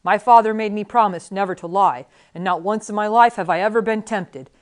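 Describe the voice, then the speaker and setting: A woman's voice: medium pitch, a little fast, close to an everyday voice. A woman voicing a huge, simple, honest man who is indignant and easily frightened, in a storybook reading. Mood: stubborn. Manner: wounded, indignant, screaming when panicked.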